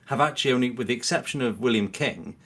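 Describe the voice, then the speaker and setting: A man's voice: medium pitch, fast talker, a bit bright. A man as a podcast guest, explaining his opinions in a rambling, conversational way with plenty of hedging. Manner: rambling, hedging, acts out imagined quotes. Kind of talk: casual chat, explaining opinions.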